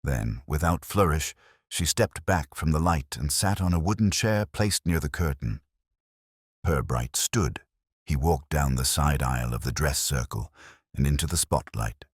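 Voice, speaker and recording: A man's voice: rumbling low, animated, normal speed, slightly rough, no echo, clean studio sound. A man reading a scripted story as an audiobook narrator.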